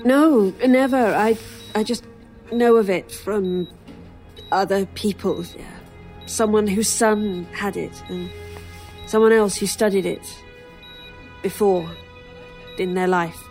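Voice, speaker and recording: A haunted female patient in a radio horror play, very slow in her speech, with music underneath. She sounds troubled and bitter, her delivery halting, at an ordinary pitch for a woman. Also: a little mellow. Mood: halting, evasive. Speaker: voice actor in an audio drama. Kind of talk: old time radio horror drama acting.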